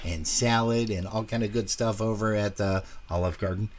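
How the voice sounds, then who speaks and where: Folksy low-voiced male show host. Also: fairly even intonation, moderate pace. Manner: folksy and friendly, with frequent "uh" fillers. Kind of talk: casual live broadcast sign-off chat.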